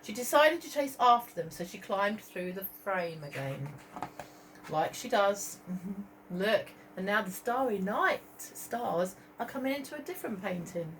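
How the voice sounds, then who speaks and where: A woman reading a story aloud to young children as a teacher, expressively, pausing to point out pictures and ask questions. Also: mid-range voice, big pitch swings, a bit bright, slight background noise, poor audio. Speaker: primary school teacher.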